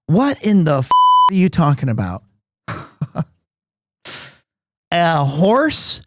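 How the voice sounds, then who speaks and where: A man, chatting loudly and rambling to his stream viewers from a room at home. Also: fairly high pitch, huge pitch swings, takes it slowly, no room sound, studio quality. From United States. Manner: loud, big voice, rambling with many restarts. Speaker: livestreamer. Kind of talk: casual chat with stream viewers.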